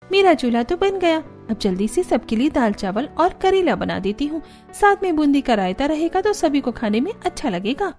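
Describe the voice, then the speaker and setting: A very high-pitched young daughter-in-law from a cartoon family drama, acted by a woman. She is earnest and overburdened, speaking politely with a plaintive edge and always eager to please. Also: very animated, normal speed, a little mellow, faint music behind. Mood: bright, eager.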